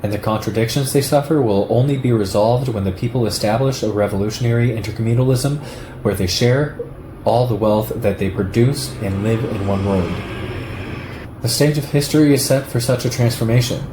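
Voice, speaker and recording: Guy reading a political essay out loud, measured, roomy echo and noticeable noise behind him. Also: mid-range voice. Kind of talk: read-aloud political text, audiobook-like. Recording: echoey room with clear background noise.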